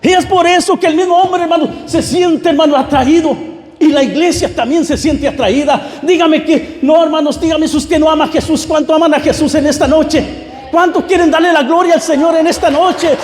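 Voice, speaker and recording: A man's voice: very high-pitched, fairly even intonation, fairly quick, darkish tone. A man preaching a fervent sermon, exhorting the congregation directly and circling back over his phrases. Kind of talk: Pentecostal-style sermon. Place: large reverberant room, church service. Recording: echoey hall with long reverb.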